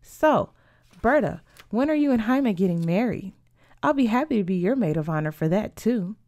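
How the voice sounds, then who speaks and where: A woman reading the part of a playful, bold teenage girl in a storybook, teasing and animated. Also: medium pitch, normal speed, dry recording, studio quality, close to an everyday voice. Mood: teasing, surprised.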